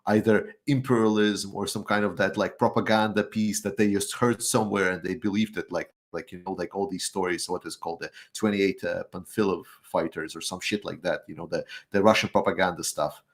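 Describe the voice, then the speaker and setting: A man with a lowish voice talks as a guest on a live chat. He rambles, stalls on fillers and restarts, and gets heated at times. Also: steady tone, brisk, hardly any pauses. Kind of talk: casual chat.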